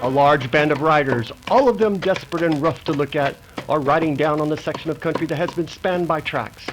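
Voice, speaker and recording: A man's voice: on the high side, fairly quick, close to an everyday voice, rough recording. An American man narrating an old-time western radio drama in a dramatic, grandiose manner. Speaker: announcer and narrator. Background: music.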